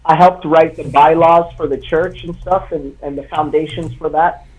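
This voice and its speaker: A young man from Congo, a native French speaker, talking as a guest author on a video call, earnest and halting. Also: on the high side, fairly even intonation. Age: young adult. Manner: earnest, halting, many fillers and restarts. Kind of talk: live online interview. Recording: tinny video call line.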